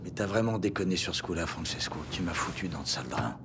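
An actor playing a stressed, hot-tempered police sergeant and protective older brother in an audio series, gruff and blunt. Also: male voice, sits low, very animated, fast talker, background noise, full character voice. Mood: weary, tender. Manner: gruff, blunt, swinging from anger to tenderness. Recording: loud background music. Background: dramatic music.

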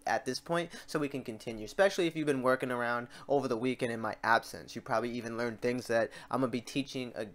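A man hosting a casual, step-by-step software tutorial, talking informally to his viewers. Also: medium pitch, fast talker, few pauses. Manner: informal, addressing viewers as guys. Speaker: tutorial host. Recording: clear background noise, keyboard typing, clicks. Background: keyboard typing and mouse clicks.

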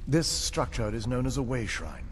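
An actor playing a solemn, courteous elf knight-paladin in a fantasy video game, formal and husky. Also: male voice, medium pitch, sing-song, a little hiss or hum, natural voice. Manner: formal, measured.

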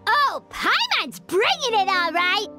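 A tiny, excitable fairy girl with a very high, squeaky voice. She swoops wildly up and down in pitch as she brags and scolds. Game music plays behind her. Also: slow pace, heavily acted. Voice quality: high squeaky voice, talks about herself in third person. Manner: bossy and excitable. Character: tiny floating female fairy companion, excitable. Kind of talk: video game voice acting.

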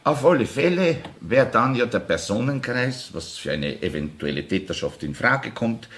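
An actor playing an argumentative, sceptical police detective with a Viennese accent in a radio crime drama. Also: male voice, mid-range voice, lively intonation, moderate pace, fairly bright tone.

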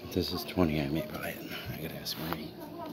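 A man's voice: rumbling low, wildly swooping pitch, fast talker. A man reselling finds, talking casually to a handheld phone while browsing a cluttered house, often muttering half-whispered asides to himself. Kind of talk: casual vlog commentary. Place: cluttered house at an estate sale, indoors. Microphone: handheld phone camera. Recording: loud background noise.

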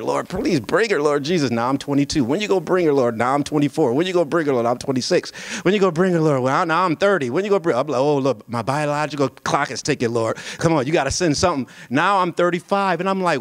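A man's voice: a little high, no room sound. A young man speaking at a singles conference from a pulpit with a handheld mic, telling a story with humor in a sermon-like style. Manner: lively, storytelling, jokes with the crowd, animated delivery. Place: church sanctuary at a pulpit. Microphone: handheld microphone.

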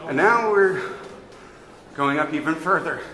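Higher-voiced man in an echoey stairwell. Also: animated, a little slow, a crowd in the background. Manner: chatty, good-humored, chuckling; exerting himself climbing stairs while talking. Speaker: amateur vlogger filming a sightseeing climb. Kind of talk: casual handheld travel narration to camera. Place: inside a narrow brick lighthouse stairwell. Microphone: handheld camera held by the speaker. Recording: echoey stairwell, long reverb, clear noise.

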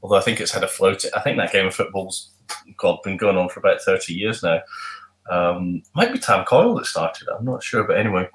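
A man's voice: low voice, animated, brisk, a bit bright. A middle-aged man, a musician guest, chatting on a video call from home with lots of ums and restarts. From not from Glasgow. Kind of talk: casual video call interview. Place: home room with guitars. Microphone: webcam on video call.

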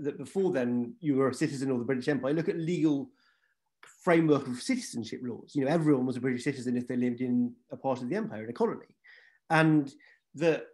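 A man's voice: mid-range voice, a little mellow. Man with a Scottish accent, talking at length. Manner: discursive, many "you know" asides. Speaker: panel guest. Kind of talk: online panel discussion. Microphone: video call.

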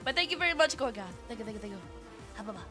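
A woman's voice: fairly high pitch, animated, rapid-fire, music in the background. A congested, nasal-sounding woman chatting casually and playfully with viewers as a livestreamer, giggly and affectionate. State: congested from illness. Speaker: streamer.

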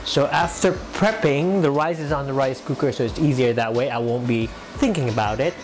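A man's voice: medium pitch, fairly quick. Filipino guy vlogging his cooking, jokey asides, music going behind, drifts off mic a bit. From Philippines. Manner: chatty, joking asides to the camera. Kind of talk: casual chat while cooking. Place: home kitchen.

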